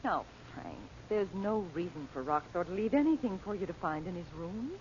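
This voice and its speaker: An actress playing a practical, concerned woman in an old radio serial, briskly chiding and questioning. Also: lowish voice, expressive, darkish tone, natural voice. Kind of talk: 1940s radio serial acting. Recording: muffled, noisy old radio recording.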